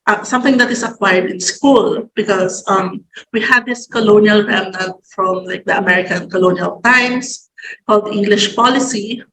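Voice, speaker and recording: A young Filipino woman, a native Tagalog speaker with a Filipino accent, talking in a halting way with many fillers and restarts over a video call. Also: medium pitch, roomy sound, crowd noise, rough recording. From Philippines. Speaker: guest writer. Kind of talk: casual conversation. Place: room at home.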